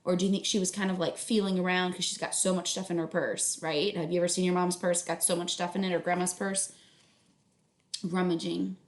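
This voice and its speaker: A woman reading a story aloud like a teacher, pausing to explain and check understanding with young listeners. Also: lowish voice, flat delivery. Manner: instructional, checks understanding, affirms listeners' answers. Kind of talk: read-aloud narration with questions to young listeners. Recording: echoey room sound.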